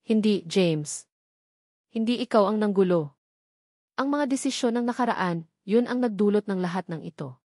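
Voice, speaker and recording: A woman with a Filipino accent voicing a gentle, forgiving young sister in an audiobook, earnest and tender. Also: medium pitch, expressive, normal speed, pauses often, no echo, studio quality. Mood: earnest, hesitant. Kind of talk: audiobook character dialogue.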